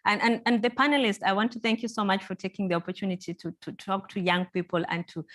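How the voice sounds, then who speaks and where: A woman's voice: medium pitch, steady tone, fast talker, a bit bright. A woman whose first language is Swahili, moderating a panel and giving earnest, encouraging closing remarks, often repeating words and using fillers.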